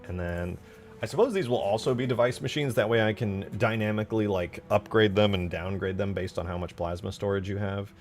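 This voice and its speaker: A man with a low, creaky voice talks casually through his work as a game-modding streamer. He thinks out loud and often questions himself in loose, informal language. Music plays softly behind him. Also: brisk. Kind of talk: casual live commentary while working.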